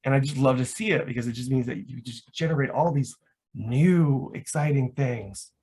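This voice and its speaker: Man, mid-pitched voice, enthusiastic, casual unscripted talk, rambling, frequent "like". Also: moderate pace, darkish tone, breathy edge. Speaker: guest, LARP foam crafter.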